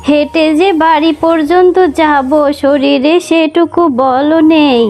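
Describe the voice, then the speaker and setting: A woman voicing a gentle, weary old beggar vixen granny in a children's cartoon, speaking tenderly and plaintively. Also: high voice, steady tone, slow. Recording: music behind the voice.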